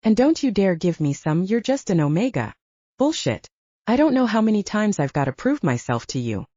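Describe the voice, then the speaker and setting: A woman's voice: medium pitch, sing-song, moderate pace, dark tone, no room sound, dull audio. Woman narrator as stubborn, scoffing young werewolf girlfriend. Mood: defiant. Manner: scoffing, pointed, insistent.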